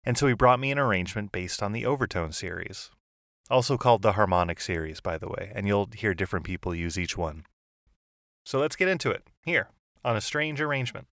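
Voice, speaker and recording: A man with a low voice, hosting a music podcast and calmly explaining ideas in scripted narration from his office. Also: a little fast, dry recording, clean studio sound. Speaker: podcast host. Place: home office.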